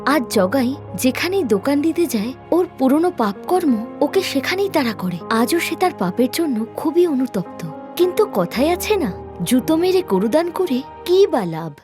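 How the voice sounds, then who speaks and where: A woman narrates a scripted moral tale in a high voice. Her delivery is expressive and animated, with clear music playing behind her. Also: normal speed. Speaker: story narrator.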